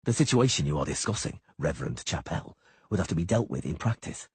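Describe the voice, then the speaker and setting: A man's voice: rumbling low, big pitch swings, quick pace, a little mellow, husky, no room sound, exaggerated voice. A man voicing a cold, stern male monster hunter who speaks curtly. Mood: grim.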